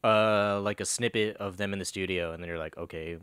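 A young man trading jokes in a casual podcast chat, his voice sitting low. He speaks loosely, with plenty of slang. The recording is dry and close, made on a boom mic in a living room. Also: brisk, clear, resonant tone, dry recording. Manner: relaxed, jokey, slangy. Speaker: podcast co-host. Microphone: boom mic on arm.

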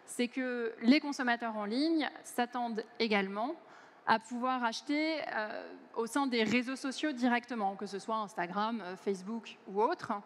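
A woman in her forties delivers a keynote from a big conference stage, her fairly high voice picked up by a headset mic. She talks fluently but leans on many hesitant fillers. A long reverb from the hall trails her words. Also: moderate pace. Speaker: keynote speaker.

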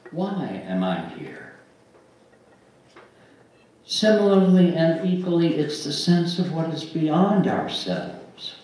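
An older man reading aloud at a church service in a deliberate, measured way, pausing between phrases. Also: fairly high pitch, wildly swooping pitch, a little mellow. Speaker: lay reader and book publisher. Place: church sanctuary, at a wooden pulpit. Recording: very echoey room, distant mic, faint noise.

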